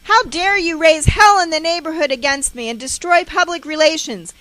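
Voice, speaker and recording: A woman storyteller voicing an enraged, abusive mother, harsh and shouting, who scolds and threatens. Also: very high-pitched, moderate pace, no room sound, voice pushed up. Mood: enraged ranting.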